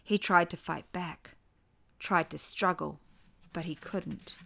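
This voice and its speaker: Low-pitched woman narrating a dramatic story. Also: fairly even intonation, slow pace, gravelly, pushed and bright. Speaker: author and narrator.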